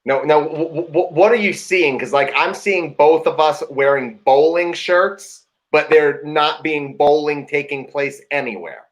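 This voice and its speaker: A man's voice: fairly high pitch, measured pace, hardly any pauses, lightly acted. A man playing a nerdy, hesitant man on a date in an improv comedy scene. He stammers and is pedantic about band trivia. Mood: awkward. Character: nerdy man dater, Smash Mouth fan.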